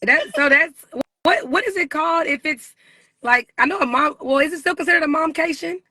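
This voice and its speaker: A woman's voice: high-pitched, brisk, sharp tone, dry recording. A woman hosting a casual interview, chatting in an informal, slangy way. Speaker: podcast-style interview host.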